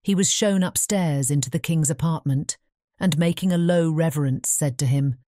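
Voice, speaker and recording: Low-voiced British woman narrating. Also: normal speed, dark tone, no echo, studio quality. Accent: British English. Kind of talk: scripted fairy-tale narration.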